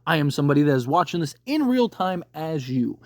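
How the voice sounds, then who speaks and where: Male commentator, slightly high voice, casual and emphatic, circling back to stress points, closely recorded with a dry sound. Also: sing-song, brisk, darkish tone, no echo. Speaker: video creator reviewing a TV series.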